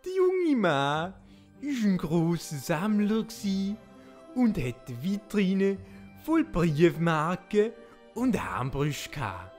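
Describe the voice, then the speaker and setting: A woman's voice: on the low side, sing-song, slow pace, a little mellow, soft background music. A woman with a Swiss German accent narrating a comic audio drama like a storybook.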